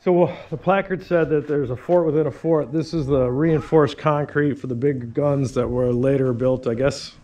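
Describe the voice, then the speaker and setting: A man's voice: medium pitch, fairly even intonation, normal speed, few pauses, a little mellow. A middle-aged man giving an easygoing, casual walking tour commentary outdoors, thinking aloud as he goes. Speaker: hiking vlogger. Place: outdoors walking around an old fort. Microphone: 360 camera held at arm's length. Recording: windy outdoor camera, dull muffled sound.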